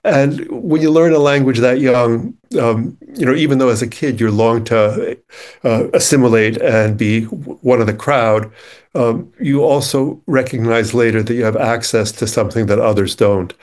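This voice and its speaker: A man of middle pitch talks in a searching, measured manner. His first language was Ukrainian, and he chats as an interview guest and reads verse aloud with the same care. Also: darkish tone. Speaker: poet and novelist guest. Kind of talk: conversational interview and poetry reading.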